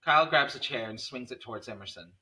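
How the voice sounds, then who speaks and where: Bright, forceful male narrator. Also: medium pitch, animated, fast talker. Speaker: narrator reading stage directions. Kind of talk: scripted narration of a horror screenplay.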